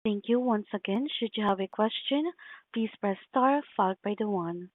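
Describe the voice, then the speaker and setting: A woman's voice: medium pitch, moderate pace, dry recording. A woman working as conference call operator, reading scripted announcements. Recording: dry tinny phone line.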